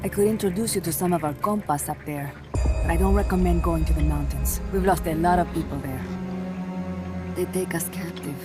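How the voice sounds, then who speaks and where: A woman's voice: on the low side, a little fast, close to an everyday voice. An actress voicing a blunt, streetwise young woman guerrilla fighter in a game cutscene. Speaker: game character. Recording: game cutscene with music behind.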